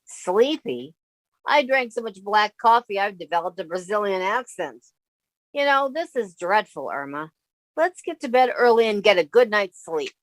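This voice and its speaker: Actress as sensible, weary roommate, close and dry. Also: female voice, mid-range voice, very animated, normal speed, no echo, lightly acted, low-quality recording. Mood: weary, caring. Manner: motherly, exasperated, wry. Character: sensible, weary, caring young woman roommate. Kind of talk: 1950s radio comedy acting.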